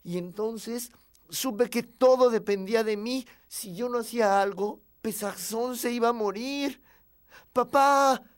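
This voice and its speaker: A man with a Mexican accent playing a tender young boy who tells his own story with expressive, confiding emotion. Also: very high-pitched, measured pace, clean tone. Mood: urgent, pleading. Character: young boy tenderly recalling his beloved piggy bank. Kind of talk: dramatic first-person storytelling.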